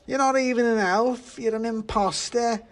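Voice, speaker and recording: A man's voice: high voice, a little slow, voice pushed up. A man voicing a huge, boozy, melodramatic talking Christmas pudding in a storybook reading. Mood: panicked outrage. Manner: exclamatory, melodramatic. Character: huge drunken Christmas pudding, gleeful then hysterically outraged, male. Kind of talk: theatrical storybook character voice.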